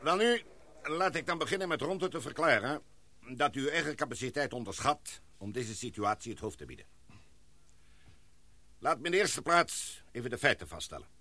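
Radio drama authoritative older gentleman: courteous, probing questions, composed delivery, medium pitch. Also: sing-song, normal speed, pauses often, no echo, heavily acted. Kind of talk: Dutch radio play acting.

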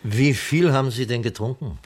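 An actor playing a boastful, flippant small-town private detective with self-important, wry patter in a radio crime play. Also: male voice, mid-range voice, normal speed, lightly acted. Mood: sceptical, wry. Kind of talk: 1980s German radio crime play acting.

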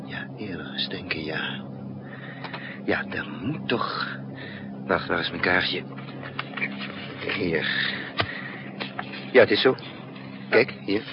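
Low-voiced spy in old radio drama. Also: male voice, animated, slow, long pauses. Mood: urgent planning. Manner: hesitant, thinking aloud in broken phrases. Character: resourceful male spy narrating his own risky mission. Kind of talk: 1960s Dutch radio drama acting. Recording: muffled, noisy 1960s radio recording.

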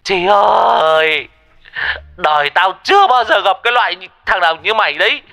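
A man voicing an exasperated spirit guide who scolds and yells directions frantically. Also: high voice, lively intonation, normal speed, gravelly, soft background music, voice pushed up, heavily acted. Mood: frantic.

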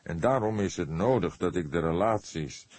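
A man voicing an old police detective in an audiobook, probing and skeptical, with a deliberate, wry way of questioning. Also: low-pitched, lively intonation, a little mellow. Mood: measured, insistent.

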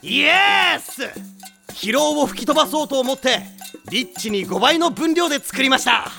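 Loud, high-pitched cocky teenage boy. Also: animated, moderate pace, vocal fry, soft background music, exaggerated voice. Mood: boastful. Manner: brash, boastful, theatrical. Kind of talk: anime audio drama acting.

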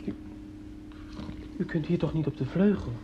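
A man's voice: on the high side, normal speed, lightly acted. A young actor playing an earnest, touchy teenage boy in a radio play, polite and sincere in his speech. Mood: polite, concerned. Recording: dull, noisy radio play recording.

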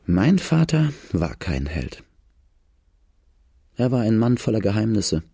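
A man's voice: on the low side, very animated, slow, gravelly, mellow, breathy edge, dry recording, clean studio sound, heavily acted. An actor playing a brooding outlaw narrating his boyhood in a German radio drama, grave and confessional.

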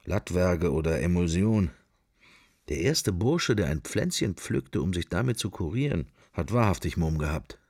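A man's voice: deep voice, expressive, moderate pace, darkish tone, no echo, studio quality. An actor playing a middle-aged man musing wryly to himself in clipped, wandering thoughts in a literary radio play. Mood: sardonic musing.

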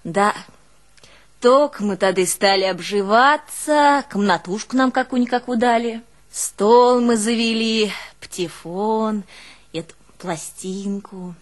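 A woman's voice: mid-range voice, slow pace, close to an everyday voice. An actress playing a garrulous, long-suffering old peasant woman with a rural northern Russian accent, telling her life in a folksy, exclamatory stage monologue. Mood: wry, amused. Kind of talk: theatrical monologue, folksy storytelling.

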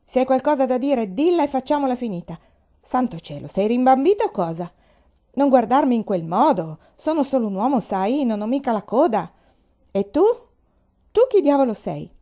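Lady doing a big grumpy older storybook man, booming and bullying, way louder, slightly dull recording. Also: a little fast, close to an everyday voice. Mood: angry, impatient. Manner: blustering, interrogating, exclamatory. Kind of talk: audiobook character voice.

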